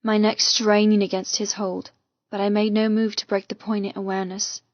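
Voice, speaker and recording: A woman reading a first-person romance audiobook with intense, expressive, dramatic delivery. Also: medium pitch, normal speed, dry recording, lo-fi sound. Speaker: audiobook narrator.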